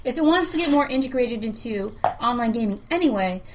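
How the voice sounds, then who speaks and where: A woman's voice: on the high side, expressive, brisk. A woman on a podcast panel, speaking assertively and giving her opinions in a casual debate. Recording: faint background noise.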